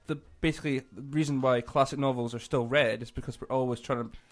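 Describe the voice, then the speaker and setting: A man speaking as a panelist in an informal discussion, haltingly, repeating words as he goes. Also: mid-range voice, fairly even intonation. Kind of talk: casual discussion.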